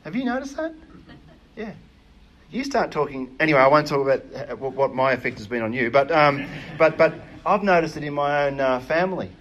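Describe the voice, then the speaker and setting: A man preaching a sermon in an earnest, emphatic way, often pausing with hesitations. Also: a little high, big pitch swings, a bit bright, muffled recording.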